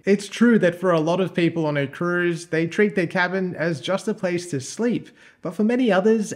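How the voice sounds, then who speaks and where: Upbeat man explaining cruise tips. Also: on the high side. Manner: upbeat, clear and informative. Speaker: cruise vlogger. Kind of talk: scripted explainer to camera. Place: cruise ship suite living room.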